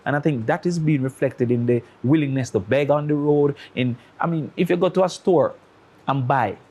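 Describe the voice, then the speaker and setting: A man's voice: fairly high pitch, moderate pace, darkish tone. A man with a Jamaican accent, a talk-show guest who argues his case with analogies and rhetorical questions. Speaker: studio guest. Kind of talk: opinionated talk-show commentary.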